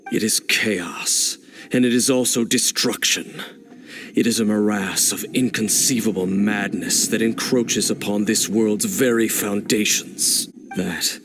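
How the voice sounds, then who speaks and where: An actor playing a solemn, enigmatic wandering swordsman in a fantasy game, speaking in a grave, measured, cryptic way. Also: lowish voice, close to an everyday voice. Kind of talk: scripted fantasy game voice acting.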